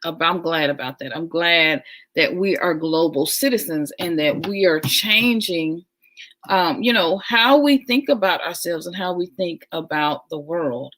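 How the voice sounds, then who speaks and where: A woman hosts a casual livestream at an easy, unhurried pace. Her voice sits on the low side, and she talks warmly and with enthusiasm, often repeating her phrases. She adds chatty asides as she goes. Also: expressive. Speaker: livestream host.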